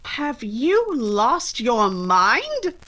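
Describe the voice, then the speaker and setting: An actress playing a spirited, outspoken young Regency gentlewoman in old-time radio romance style, crisp and articulate. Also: a little high, huge pitch swings, extremely slow, exaggerated voice. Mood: indignant.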